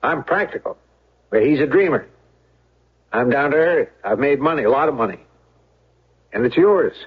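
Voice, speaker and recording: An actor playing a practical, wealthy and loyal friend in a 1970s American radio drama, speaking emphatically and persuasively with an American accent. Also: male voice, mid-range voice, expressive, moderate pace, husky. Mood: insistent. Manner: emphatic, persuasive, repeating himself. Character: practical, wealthy, insistent loyal male friend of accused man. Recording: dull old radio broadcast.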